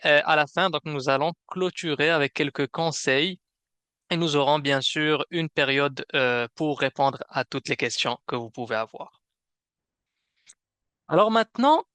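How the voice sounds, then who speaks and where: A man presents a semi-scripted webinar as its host. His voice is bright and fairly high for a man, with very clean, clear tone. He explains things in a measured, somewhat hesitant way. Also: lively intonation, no echo. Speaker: webinar host and program manager.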